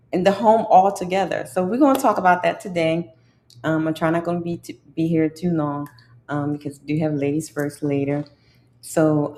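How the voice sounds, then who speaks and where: A woman's voice: low voice, a little mellow. A middle-aged woman with a congested voice, hosting a casual livestream and chatting in a drawling way with asides to her viewers. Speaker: livestream host.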